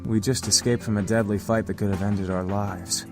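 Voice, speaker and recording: A man plays a courteous demon gentleman who leads his brothers in a visual novel. His deep voice is precise and authoritative, with music clearly playing underneath. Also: steady tone, moderate pace, close to an everyday voice. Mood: polite, explanatory. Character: formal, polite leader of incubus brothers. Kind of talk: visual novel character acting.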